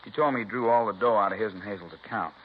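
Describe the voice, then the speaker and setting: A man's voice: fairly low pitch, racing, lightly acted. An actor playing a chatty, folksy male witness in a 1950s radio crime drama, rambling in colloquial, musing phrases. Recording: dull, hissy 1950s radio recording.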